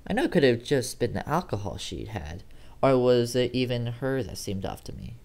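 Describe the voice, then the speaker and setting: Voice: mid-range voice, sing-song, normal speed, creaky, darkish tone. Amateur narrator reading a horror story. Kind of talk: scripted first-person horror narration.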